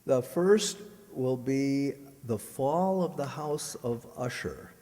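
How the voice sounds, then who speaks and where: A man's voice: medium pitch, animated, slow, warm tone. A man making a live announcement to an audience at a stage microphone, as a director presenting upcoming shows. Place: large indoor township auditorium, on stage. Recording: echoey auditorium with long reverb.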